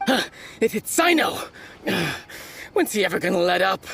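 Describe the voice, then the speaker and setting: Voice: mid-range voice, sing-song, measured pace, vocal fry, fairly bright tone, heavily acted. An actor voicing a surly, easily rattled smuggler in an anime-style game, curt and brusque. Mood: alarmed, grumbling.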